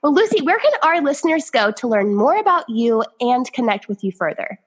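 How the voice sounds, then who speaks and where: A woman's voice: high voice, sing-song, brisk, breathy edge. Nasal, congested woman podcast host. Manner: bubbly, laughs often, gushing praise. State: sinus congestion, a little nasal. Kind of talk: casual interview chat.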